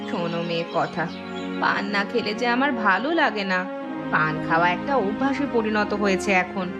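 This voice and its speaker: A woman voicing a stubborn but fond village mother with an offhand, grumbling manner in an animated story. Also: mid-range voice, moderate pace, loud music behind the voice, lightly acted. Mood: stubborn, dismissive.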